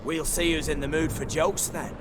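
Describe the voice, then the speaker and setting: An actor playing a streetwise, cheerfully resigned rogue prisoner in a video game, talking colloquially and flippantly in a British accent. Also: male voice, a little high, fairly even intonation, moderate pace, natural voice. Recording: loud game ambience noise behind.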